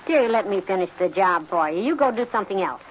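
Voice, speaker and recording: A woman's voice: mid-range voice, lively intonation, fast, lightly acted. An actress playing a long-suffering middle-aged American wife in 1950s radio comedy, affectionately scolding in a dry, motherly way. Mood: fussing. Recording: dull, noisy 1950s radio recording.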